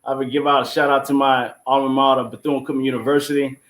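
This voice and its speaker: An animated man from Florida telling stories in a casual, folksy way as an interview guest. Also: medium pitch, quick pace. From Florida, United States. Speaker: guest, playwright. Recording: roomy echo.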